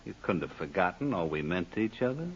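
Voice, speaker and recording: Gravelly, smug blackmailing crook. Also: male voice, low-pitched, big pitch swings, fast talker, exaggerated voice. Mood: oily, taunting. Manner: mock-sweet, taunting, drawling. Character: smug, menacing con man husband blackmailing his wife. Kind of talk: 1950s radio crime drama acting. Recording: muffled old-time radio recording.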